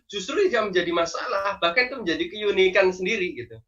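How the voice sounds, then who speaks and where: A man with a strong Javanese accent teaching public speaking, lively and persuasive, posing rhetorical questions. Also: high voice, fast, far from the mic, dull audio, a loud crowd. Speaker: guest speaker and trainer. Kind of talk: online class teaching.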